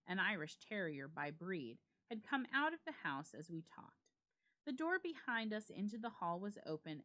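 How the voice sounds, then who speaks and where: A woman giving a dramatic, measured reading of a classic ghost story, with emphatic phrasing. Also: lowish voice, pushed and bright. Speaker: library staff reader.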